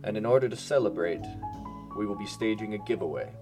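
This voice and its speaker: A man with a low voice reads as a podcast announcer. His delivery is formal, measured and clearly scripted. Music plays behind him. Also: expressive. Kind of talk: scripted narration, polished radio-host announcements.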